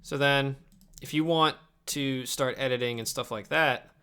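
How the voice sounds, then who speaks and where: A man's voice: medium pitch, steady tone, a little slow, bright, forceful tone, smooth. A young man chatting casually and offhandedly on a livestream while he edits video at his computer, sometimes muttering to himself. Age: young adult. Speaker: live streamer and video editor. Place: indoors at a home computer desk. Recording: clicks and keyboard noise behind the voice. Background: mouse clicks, typing.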